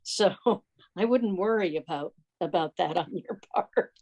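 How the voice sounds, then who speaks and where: Woman host, lowish pitch, very slow pace, effusive, hesitant phrasing, unscripted video call meeting. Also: darkish tone.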